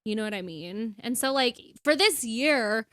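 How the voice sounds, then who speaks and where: A young woman in her twenties co-hosting a podcast, talking candidly and quickly with lots of fillers. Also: fairly high pitch, lively intonation, fairly bright tone, no echo, clean studio sound. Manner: candid, talks fast with many "like" fillers. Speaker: podcast co-host. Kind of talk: casual chat.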